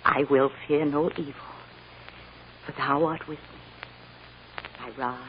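Radio drama mother, sorrowful and loving, hushed halting delivery, muffled vintage recording. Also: rumbling low, steady tone, slight background noise. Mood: solemn. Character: tender, sorrowful mother comforting her small daughter. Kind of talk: 1940s radio drama acting.

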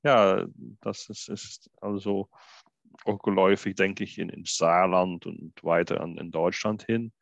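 A man from Belgium, a guest interpreter on a podcast, talking casually and haltingly, full of fillers and restarts. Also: low-pitched, fairly even intonation, gravelly, no room sound. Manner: hesitant, many fillers and restarts. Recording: dull, lo-fi, dry sound.

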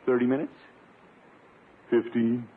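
An actor playing a playful, grumbling middle-aged bachelor uncle in a blustery 1950s radio sitcom style. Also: male voice, mid-range voice, little pitch movement, slow pace, natural voice. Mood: playful pleading. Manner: blustery, wheedling, theatrical grumbling. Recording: muffled, narrow-band 1950s radio recording, hiss.

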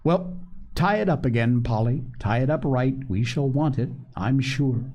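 Man reading as a gentle, worried storybook mother. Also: medium pitch, measured pace, dull audio, natural voice. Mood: relieved.

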